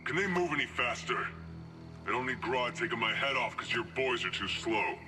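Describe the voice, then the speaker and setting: An actor voicing an impatient, gruff male hitman in a game. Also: medium pitch, normal speed, in a large hall, over music, natural voice.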